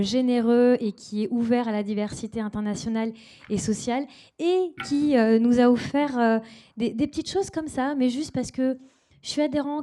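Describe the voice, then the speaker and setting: A woman's voice: a little high, warm tone. A woman hosting a conference panel and introducing the speakers, with frequent hesitations and fillers. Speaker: event host. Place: conference stage.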